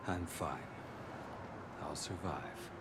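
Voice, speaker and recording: A man playing a stoic, weary, guarded character in a video game, with a terse, deadpan delivery. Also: low-pitched, extremely slow. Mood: cool, terse. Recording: game audio under loud vehicle noise.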